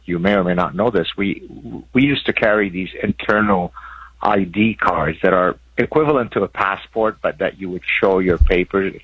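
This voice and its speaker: A man's voice: sits low, husky, no room sound, lo-fi sound. A man in his sixties from Lebanon, a professor and author explaining his ideas as a radio guest. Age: 60s. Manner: articulate, lecturing explanations. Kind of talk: radio interview.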